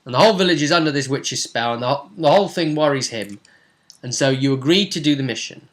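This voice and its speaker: A man's voice: medium pitch, lively intonation, quick pace. A man acting as game master, chattily recapping a tabletop role-playing session in an informal, rambling way. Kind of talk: casual conversational recap.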